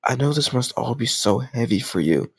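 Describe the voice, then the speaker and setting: A lovesick, obsessive kidnapper acted by a man speaks in an intimate audio roleplay. His voice has plenty of creak and sits in a very dry space. He is sweet and earnest, reassuring his captive crush in an awkward, doting, conversational manner. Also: medium pitch, sing-song, moderate pace, no room sound, exaggerated voice. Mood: gentle, reassuring.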